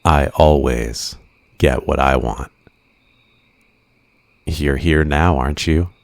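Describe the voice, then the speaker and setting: A man playing an obsessive, flirtatious supervillain in an ASMR roleplay, drawing out teasing, softly menacing endearments in a possessive way. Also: extremely low pitch, takes it slowly, no echo, studio quality, exaggerated voice. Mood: cold menace.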